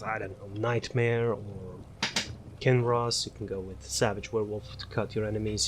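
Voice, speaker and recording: A man casually walking through a game character build, halting often with fillers and restarts. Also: on the low side, background noise. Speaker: gaming channel host.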